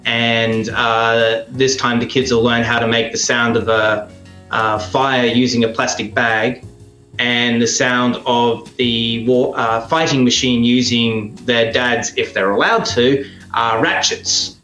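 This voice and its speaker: A man's voice: medium pitch, fairly even intonation, slow pace, sharp tone. Guy in an echoey room talking through his sound effects work, bit hesitant, music faint behind. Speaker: radio drama maker and sound effects artist, interview guest. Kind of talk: casual interview explanation and demonstration.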